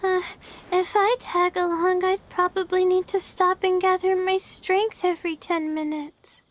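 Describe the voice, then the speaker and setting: A woman voicing a gentle, self-doubting, tired young woman in a video game, speaking hesitantly. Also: extremely high pitch, level tone, measured pace. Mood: apologetic, weary.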